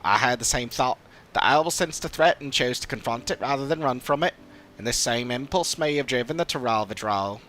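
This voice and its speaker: A man voicing a courteous, scholarly old father in a fantasy game, speaking in a formal, measured, old-fashioned way. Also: mid-range voice, a bit bright, a little hiss or hum, lightly acted. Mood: thoughtful. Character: courteous old father, scholarly theorist recovering from long illness. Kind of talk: fantasy game dialogue read aloud.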